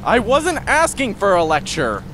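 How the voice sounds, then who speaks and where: A man's voice: high-pitched, huge pitch swings, a little slow, fairly bright tone, a little hiss or hum, full character voice. A man playing a stubborn, hot-tempered young half-demon in an indignant, defiant way for an amateur audio drama. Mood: indignant, defensive.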